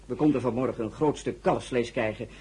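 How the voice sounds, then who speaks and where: A man's voice: mid-range voice, a little fast, a little mellow, husky. A man playing a careful, deferential household steward in a radio drama, polite and matter-of-fact. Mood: apologetic, factual.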